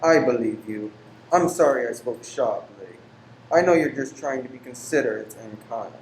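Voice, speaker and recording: Male actor as formal, secretive gentleman lodger, pious and weary, touchy and exacting; echoing room, faint noise. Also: medium pitch, moderate pace, close to an everyday voice. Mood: apologetic, weary. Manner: formal, exacting, abrupt then apologetic. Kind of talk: radio play acting. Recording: roomy echo with faint background noise.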